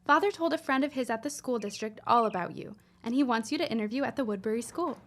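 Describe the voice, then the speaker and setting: A helpful, eager young woman from a period radio drama, acted by a woman. Her voice is on the high side, and she speaks in an earnest, emphatic way. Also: fast talker, a bit bright, lightly acted. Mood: excited, earnest. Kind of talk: period radio-style audio drama acting.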